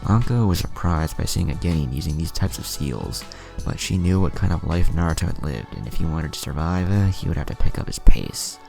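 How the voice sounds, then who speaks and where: Casting: male narrator for fan fiction, very low, heavy vocal fry, animated intonation. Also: a little fast, soft background music.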